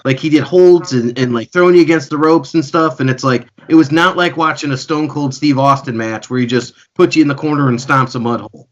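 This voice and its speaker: A man's voice: on the high side, brisk, few pauses, dull audio. A man in his thirties from Connecticut, chatting easily as a co-host on a video call. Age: 30s. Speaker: podcast co-host. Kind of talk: casual video call chat. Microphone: video call webcam.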